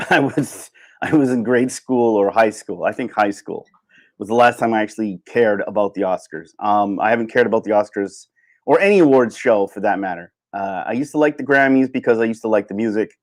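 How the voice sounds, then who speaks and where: Male livestream host, slightly low voice, halting and off-the-cuff, thinking aloud in casual solo chat.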